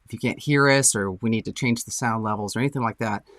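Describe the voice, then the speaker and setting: A man's voice: lowish voice, quick pace, fairly bright tone, no room sound, studio quality. A man co-hosting a casual local morning show, upbeat and chatty with frequent fillers.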